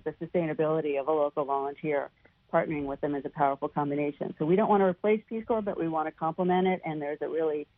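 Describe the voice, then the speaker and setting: A woman's voice: sits low, no room sound. A woman giving earnest, emphatic answers as an interview guest over a band-limited phone line. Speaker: non-profit founder, interview guest. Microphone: telephone.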